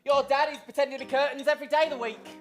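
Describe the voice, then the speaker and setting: A woman's voice: high-pitched, fairly even intonation, very fast, soft background music, lightly acted. A woman playing a vain, bullying stepsister in an improvised pantomime, with a haughty, mocking, theatrical delivery. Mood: scornful.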